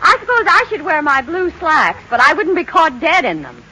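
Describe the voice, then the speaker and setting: Casting: fussy society wife, high and extremely animated, arch, vintage radio sitcom, noisy recording. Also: female voice, moderate pace, heavily acted. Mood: fretful. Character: Iris, fussy, wifely matron who deflates her husband. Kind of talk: 1940s radio comedy acting.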